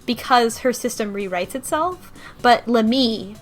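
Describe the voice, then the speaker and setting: A woman's voice: fairly high pitch, animated, measured pace. A woman talking casually in a group discussion about a game, rambling with many restarts and fillers. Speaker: podcast co-host discussing a game.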